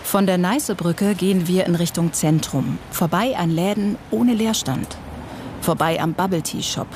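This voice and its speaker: A reporter reading scripted radio feature narration in measured, terse phrases. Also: medium pitch, noisy background.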